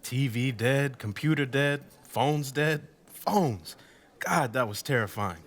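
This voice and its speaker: A man's voice: medium pitch, expressive, slow. An actor playing a wry, self-deprecating husband being interviewed in a radio drama, telling his story in a sardonic, conversational way. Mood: wry, amused. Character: wry, indignant husband recounting blackout night, interviewee.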